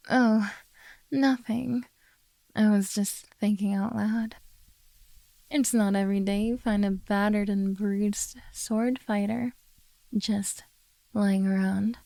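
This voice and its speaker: A woman playing a possessive, coaxing beastkin monster girl in a soft, teasing ASMR roleplay. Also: mid-range voice, creaky, dry recording. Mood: curious, musing.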